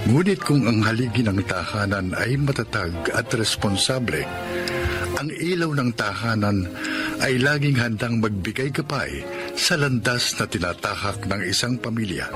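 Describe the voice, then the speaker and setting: Animated male radio drama narrator. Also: on the low side. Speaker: host and narrator.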